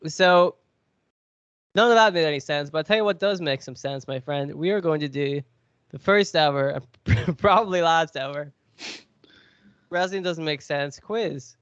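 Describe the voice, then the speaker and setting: A man's voice: fairly high pitch, unhurried, fairly bright tone, clear, resonant tone, no echo, highs cut off. A man with a cold, sniffly, chatting and joking as he hosts a podcast quiz. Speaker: podcast co-host. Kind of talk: casual podcast chat.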